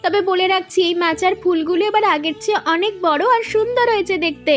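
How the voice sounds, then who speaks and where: A woman voicing a lively, playful young village daughter in a cartoon, eager and fond of secrets. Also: very high-pitched, quick pace. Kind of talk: cartoon voice acting.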